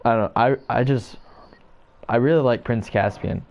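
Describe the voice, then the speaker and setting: A young man in casual chat as a podcast co-host, hesitant and halting, with many fillers. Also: medium pitch, vocal fry, darkish tone, slight background noise. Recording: dull lo-fi sound.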